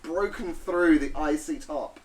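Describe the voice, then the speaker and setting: A man's voice: fairly high pitch, unhurried, a little mellow. Playful male game master narrating. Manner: playful storytelling with hummed sound effects. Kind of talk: narrating a tabletop game on stream.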